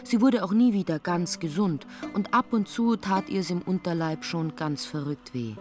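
A woman with a fairly low voice narrates a literary radio drama over faint music. The storytelling is plain and matter-of-fact. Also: normal speed.

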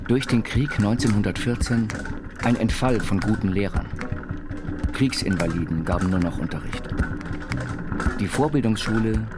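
An actor playing an eccentric self-taught inventor, narrating his life story in measured, quaintly formal phrases for an audio biopic. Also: fairly low pitch, natural voice. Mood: sober reminiscence. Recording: studio voice over loud music.

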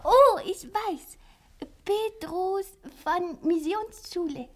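A woman playing an eager, innocent young Japanese waitress who speaks halting broken German with a Japanese accent in a television drama. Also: very high-pitched, exaggerated voice. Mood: curious. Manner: halting, simple broken phrases.

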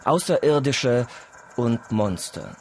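A man's voice: mid-range voice, animated, slow pace, darkish tone, slight background noise. A man reading scripted documentary-style narration as a narrator.

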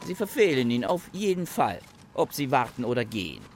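Young gentleman, imperturbable, superior, lively intonation, radio drama, faint background noise. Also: mid-range voice, fairly quick. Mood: cool, commanding. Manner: imperturbable, curtly commanding. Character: young gentleman, coolly superior, unwavering, commanding. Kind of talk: literary radio drama dialogue.

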